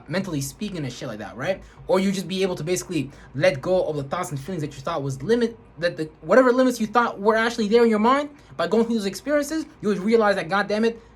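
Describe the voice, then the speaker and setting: A man's voice: sits high, animated, fast, husky. A man vlogging an emphatic, rambling spiritual rant, swearing heavily and repeating words for emphasis. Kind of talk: profane spiritual rant to camera.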